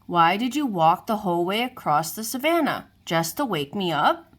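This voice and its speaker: Leo is a wise, polite male lion, a little grumpy, from a children's storybook, as a woman reading aloud puts him on. He speaks calmly and thoughtfully, weighing his words. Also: medium pitch, normal speed.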